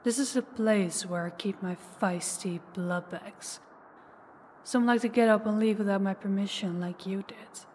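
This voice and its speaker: A woman's voice: medium pitch, a little mellow, long reverb tail, close to an everyday voice. Woman acting a possessive, menacing vampire over noise. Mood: commanding, cold threat. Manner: slow, taunting, commanding, dropping into whispers. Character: possessive, menacing older female vampire, toying with captive huntress. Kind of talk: intimate audio roleplay acting.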